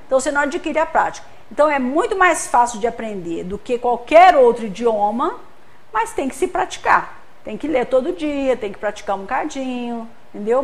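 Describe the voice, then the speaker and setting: A woman's voice: on the high side, a bit bright. A Brazilian woman giving a lecture at a spiritist center, explaining patiently with homely examples and often checking in with the audience.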